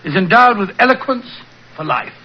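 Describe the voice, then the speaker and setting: An actor playing an elderly, genial British doctor who recounts old adventures in a hesitant, avuncular way, in 1940s radio style. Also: male voice, sits high, big pitch swings, fairly quick, slightly rough, heavily acted. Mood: earnest storytelling. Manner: avuncular, hesitant, slightly fussy storyteller. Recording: muffled old-time radio recording.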